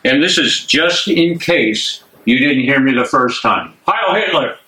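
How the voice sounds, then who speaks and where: An actor playing a scornful, menacing Nazi prison major in a play reading, barking and mocking. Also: male voice, medium pitch, animated, a little slow, bright, forceful tone, low-quality recording. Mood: mocking menace. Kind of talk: dramatic reading of a stage play.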